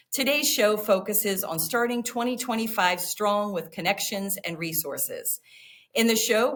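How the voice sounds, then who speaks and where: Woman host, measured and formal, delivering a prepared show opening. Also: mid-range voice, fairly bright tone. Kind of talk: scripted public-service show introduction.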